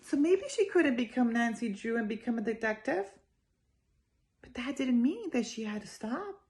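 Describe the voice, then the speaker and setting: A woman's voice: fairly high pitch, normal speed. Woman reading expressively to young children. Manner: expressive, emphatic, addresses young listeners directly. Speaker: judge reading a children's story. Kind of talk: storytime read-aloud to young children.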